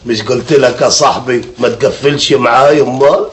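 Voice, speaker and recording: An actor playing a chummy friend who talks in a teasing, familiar way. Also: male voice, medium pitch, brisk, close to an everyday voice. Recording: roomy, distant, faint noise.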